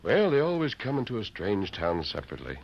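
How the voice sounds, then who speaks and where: An actor playing a calm, wryly amused western hero, articulate and measured, on a dull, noisy old radio recording. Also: male voice, lowish voice.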